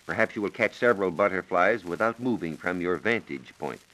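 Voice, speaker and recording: An American man giving measured, precise instructions as a science reporter on a scripted 1940s radio program. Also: low voice, fairly bright tone, rough recording. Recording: 1940s radio broadcast recording.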